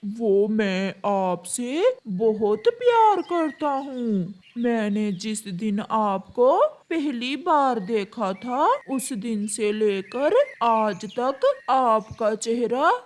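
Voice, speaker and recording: A woman voicing a lovestruck, scheming male crow in a cartoon story, with slow, pleading, melodramatic delivery. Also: fairly high pitch, animated, a little mellow. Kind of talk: Hindi cartoon storybook acting.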